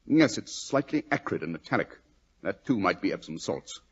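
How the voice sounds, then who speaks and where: A British gentleman detective of vintage radio mystery, dry and ironic. His slightly rough voice rises and falls expressively as he ponders with great self-assurance, all on a dull, lo-fi recording. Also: low voice, big pitch swings, moderate pace, full character voice. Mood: wry, pondering. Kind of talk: old-time radio drama acting.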